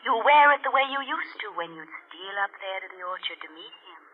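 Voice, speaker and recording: An actress playing the vengeful ghost of a young woman in 1940s radio horror, coaxing and insistent with sinister, drawn-out words. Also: mid-range voice, lively intonation, lightly acted. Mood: wistful, taunting. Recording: scratchy, muffled, noisy old radio recording.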